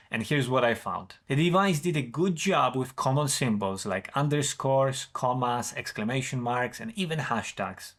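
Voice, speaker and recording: A man's voice: mid-range voice, a bit bright. Man reviewing tech. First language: Polish. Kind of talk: scripted product review narration.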